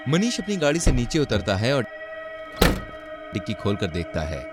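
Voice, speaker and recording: A man's voice: medium pitch, wildly swooping pitch, moderate pace, over loud music. A man narrating a scripted horror-comedy story in a dramatic storytelling style.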